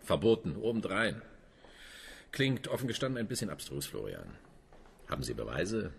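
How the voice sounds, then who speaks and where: An actor playing a patronizing, sceptical police inspector in radio drama dialogue, dry and soothing in manner. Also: male voice, low voice, very animated, normal speed, vocal fry, a little hiss or hum, heavily acted.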